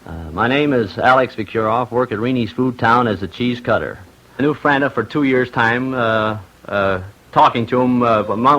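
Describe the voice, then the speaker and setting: A man giving stiff, halting testimony as a witness. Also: medium pitch, fairly bright tone. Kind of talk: recorded personal testimony. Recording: dull old radio recording.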